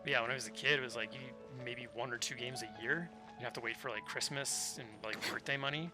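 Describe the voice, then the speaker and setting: Casual male streamer over clear music. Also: mid-range voice, fairly even intonation, quick pace, poor audio. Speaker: game livestreamer. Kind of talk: casual chat while gaming. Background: game music.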